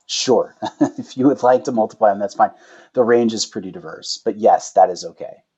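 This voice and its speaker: Low, slightly husky man on dull audio. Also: normal speed, a little mellow. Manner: uses rhetorical questions and tag "right?". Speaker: startup founder and chief executive, guest. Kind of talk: explanatory interview answers.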